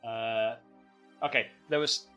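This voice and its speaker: A man's voice: medium pitch, fairly even intonation, takes it slowly, sharp tone, clean tone, soft background music. A man streaming and commenting casually on games, with offhand, meandering remarks. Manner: offhand, meandering, muttering asides.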